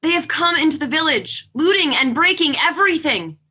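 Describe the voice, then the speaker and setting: A woman's voice: high-pitched, lively intonation, fairly quick, pushed and bright. A woman playing a desperate village refugee pleading for help in a stage play reading.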